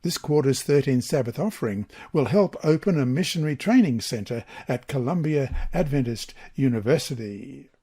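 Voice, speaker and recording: A man reading a mission story aloud as scripted narration, in a slow, measured way. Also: mid-range voice, animated, mellow, slightly rough.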